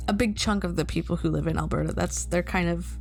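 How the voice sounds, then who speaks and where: A woman's voice: fairly low pitch, fast talker, gravelly, a little mellow, soft background music. A middle-aged woman from Canada hosting a casual streamer interview, chatty and giggly, reading chat messages aloud.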